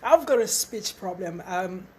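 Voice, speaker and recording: A middle-aged woman with a husky voice giving an eager, encouraging webinar talk as a trainer, stumbling and repeating words now and then. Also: medium pitch, expressive, a little slow, slight background noise. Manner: stutters at times, repeats words; enthusiastic, encouraging. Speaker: facilitator and trainer.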